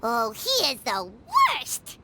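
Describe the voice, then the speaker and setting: A woman voicing a small, blunt and excitable childlike girl companion in a video game, with a squeaky voice. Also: slow pace, very noisy, exaggerated voice. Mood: exasperated. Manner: blunt, expressive, speaks of self in third person. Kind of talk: animated video game voice acting. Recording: background music under the voice.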